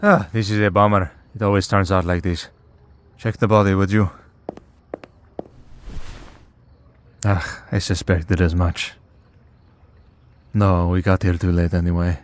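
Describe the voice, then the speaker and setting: Man acting calm, low-voiced vampire priest. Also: slight background noise, natural voice. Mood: measured, regretful. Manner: dry, unhurried, politely sardonic. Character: centuries-old male vampire priest, calm, wry, merciful hunter. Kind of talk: scripted audio roleplay, ASMR drama.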